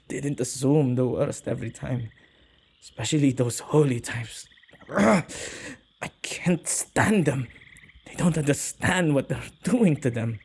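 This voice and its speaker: A man playing an earnest, tender male witch who protects ghosts, speaking softly and intimately in an ASMR roleplay. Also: medium pitch, creaky, husky, full character voice. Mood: impassioned, upset. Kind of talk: ASMR boyfriend roleplay acting.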